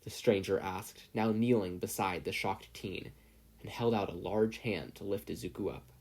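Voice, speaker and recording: A man's voice: on the low side, darkish tone. A man reading a story aloud as scripted audiobook narration. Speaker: podfic reader, narrator.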